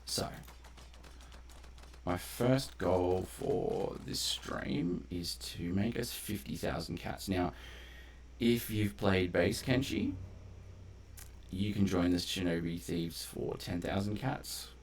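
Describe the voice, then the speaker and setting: A man with a very deep, creaky voice gives casual gameplay commentary. He talks slowly, in a rambling, conversational streamer's way. There is a faint noise in the recording. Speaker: game streamer.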